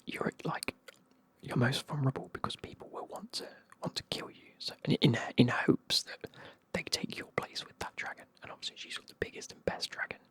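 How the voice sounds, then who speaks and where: A British speaker tells a story in a very breathy whisper, with hardly any voiced tone. The delivery is informal and chatty, like a sweary ASMR recap of a book for a friend. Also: medium pitch, steady tone, fairly quick, a bit bright.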